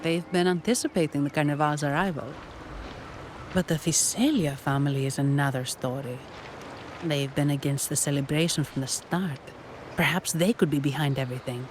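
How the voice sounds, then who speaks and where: A woman voicing a wry young businesswoman caught up in an investigation, brisk and matter-of-fact, over game music. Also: low voice, a little hiss or hum, close to an everyday voice. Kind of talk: game cutscene voice acting.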